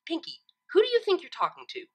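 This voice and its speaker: A woman's voice: very high-pitched, huge pitch swings, quick pace, a little mellow, voice pushed up, exaggerated voice. A woman voicing a stern, proudly clever female cartoon unicorn who sounds impatient and emphatic, in a fan fiction reading. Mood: annoyed. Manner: emphatic, impatient, self-assured.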